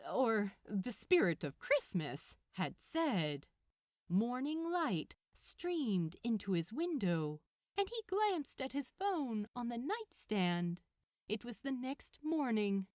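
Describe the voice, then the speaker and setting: A woman's voice: on the high side, no echo, studio quality. A woman narrating a piece of fiction expressively, as a scripted audiobook-style reading.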